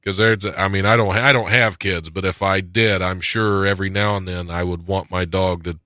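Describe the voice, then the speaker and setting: Bright-voiced American man, low pitch. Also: steady tone, moderate pace, dry recording, studio quality. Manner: joking, sarcastic, often chuckling. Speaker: podcast host. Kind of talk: casual comedic chat about news. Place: studio.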